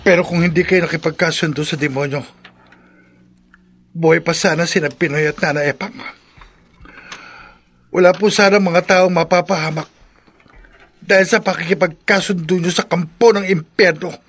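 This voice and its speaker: A defiant, anguished young son in a horror radio play. His voice is high for a man and husky, pushed with pleading intensity. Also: steady tone, moderate pace, pauses often, fairly bright tone. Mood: resolute, grieving. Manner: impassioned, pleading, respectful to elders. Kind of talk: Filipino horror radio drama acting.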